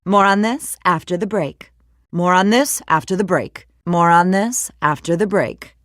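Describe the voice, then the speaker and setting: A woman's voice: fairly low pitch, a little slow, a bit bright, no echo, clean studio sound. A woman acting as a composed, professional TV news anchor in a video game, with scripted broadcast delivery.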